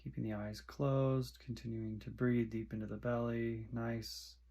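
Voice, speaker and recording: A man guiding a meditation in short, soothing phrases with long pauses between them. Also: mid-range voice, level tone, vocal fry, mellow. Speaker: meditation guide. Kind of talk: guided meditation instruction.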